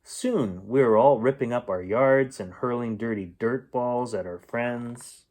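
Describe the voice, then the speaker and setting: A man reading a children's storybook aloud in an expressive, playful storytelling manner. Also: mid-range voice, unhurried. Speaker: bedtime story reader.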